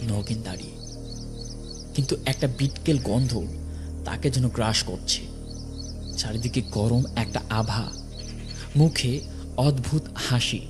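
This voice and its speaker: A man tells a scripted horror tale as its narrator. His voice sits at a middle pitch and the delivery is dramatic. Faint music plays behind him.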